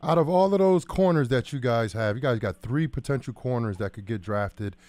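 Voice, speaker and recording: A man's voice: mid-range voice, expressive, brisk, a little mellow, no echo. An American man in his thirties, a former player co-hosting casual sports talk on a close studio microphone. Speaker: co-host, former football player. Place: small indoor studio. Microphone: close broadcast boom microphone.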